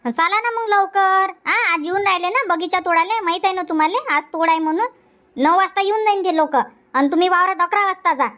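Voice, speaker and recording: Cartoon comedy housewife, played by an actor: very high voice, chatty, insistent nagger, rural Vidarbha Marathi accent. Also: fairly quick, bright, forceful tone. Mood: nagging, impatient.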